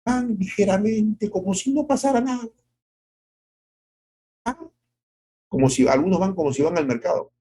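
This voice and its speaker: A middle-aged male pastor giving a devotional sermon, asking rhetorical questions and acting out quotes, moving from soft drawn-out phrases to sudden high exclamations. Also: lively intonation, slightly rough.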